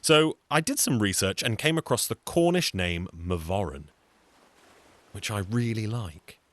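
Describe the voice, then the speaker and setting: A man's voice: fairly low pitch, lively intonation, a little slow, no echo. A man with a British accent telling a scripted comic story in a wry, chatty way, full of asides. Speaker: storyteller and singer.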